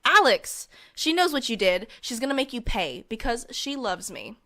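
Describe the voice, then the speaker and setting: A woman's voice: a little high, sing-song, moderate pace, bright, forceful tone. A woman playing a furious, menacing young woman in an audio drama. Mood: seething, threatening. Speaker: actor.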